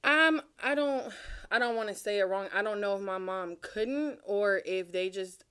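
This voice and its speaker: A young woman guest telling her life story in a relaxed, casual way, swearing now and then. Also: mid-range voice, moderate pace, smooth. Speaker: podcast guest. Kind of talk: casual chat interview.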